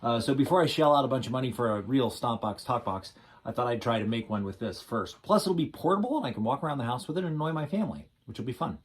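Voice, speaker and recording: A man with a medium-pitched voice gives an informal, unscripted demo of something he built at home. He thinks aloud, pausing and hedging as he goes, with a dry, self-mocking streak. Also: expressive, slightly rough. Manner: self-deprecating, wry. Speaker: hobbyist guitarist demonstrating a homemade project. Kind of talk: casual unscripted demo vlog.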